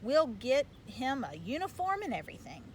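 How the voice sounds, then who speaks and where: A woman voicing a gruff, blustering, folksy father who manages a losing baseball team, in a storybook read-aloud. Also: sits high, very animated, normal speed. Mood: blustering, persuasive. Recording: clear background noise.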